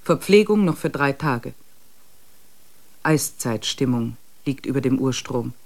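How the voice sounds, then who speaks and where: A man narrating a wilderness expedition diary in a radio drama, measured and matter-of-fact. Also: mid-range voice, expressive, gravelly.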